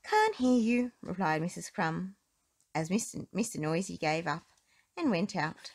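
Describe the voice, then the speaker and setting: A woman reading a children's story aloud as narrator, in an expressive, deliberate storytelling manner. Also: fairly low pitch. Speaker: storybook reader.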